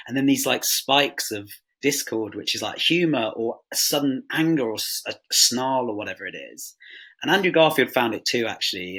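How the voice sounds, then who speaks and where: A man's voice: on the low side, sharp tone, husky. A man thinking aloud enthusiastically in a casual interview as a production guest. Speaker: audio drama production guest.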